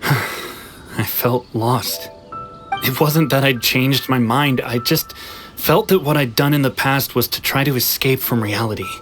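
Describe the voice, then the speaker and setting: A man's voice: mid-range voice, a little slow, close to an everyday voice. Man as wistful young runaway, music behind. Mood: wistful, regretful. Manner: reflective, hesitant, earnest. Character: wistful young male runaway returned home. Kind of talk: video game voice acting.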